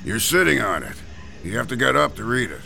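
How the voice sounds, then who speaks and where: An actor playing a chatty, folksy gravestone carver who sighs about the heat, in classic radio drama style. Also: male voice, medium pitch, very animated, fairly quick, gravelly, pushed and bright, faint music behind, full character voice. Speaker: stonecutter.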